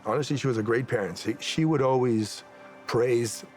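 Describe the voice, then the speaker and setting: A man's voice: mid-range voice, normal speed, darkish tone, faint music behind. A man recalling a young player and his family as a soccer coach in an interview. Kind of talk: interview recollection.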